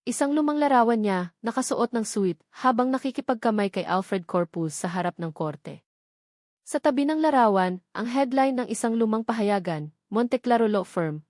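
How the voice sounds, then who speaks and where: A woman's voice: medium pitch, darkish tone, dry recording, clean studio sound. A woman reading a scripted romantic drama as a story narrator.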